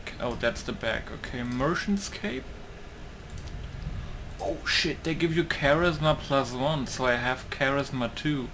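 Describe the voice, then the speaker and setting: A man comments casually on a video game as he plays. His mid-range voice moves slowly and haltingly as he thinks aloud. Game ambience is clearly audible behind him. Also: mid-range voice, fairly bright tone, a little hiss or hum. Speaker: gaming YouTuber. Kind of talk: casual let's play commentary.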